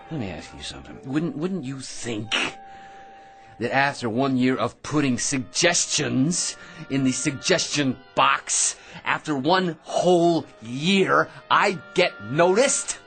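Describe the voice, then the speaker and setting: A man's voice: medium pitch, lively intonation, takes it slowly, fairly bright tone, faint music behind. An actor playing an eager, self-important American office bore who rambles and laughs at his own remarks in a radio drama. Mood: defensive.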